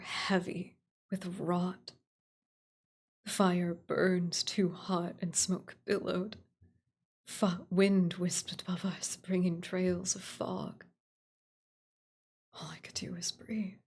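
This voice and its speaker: A woman playing a terrified camper in a horror radio play, halting and whispering as if possessed by fear. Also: fairly low pitch, darkish tone, heavily acted. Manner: halting, breathy whispering, drawn-out words.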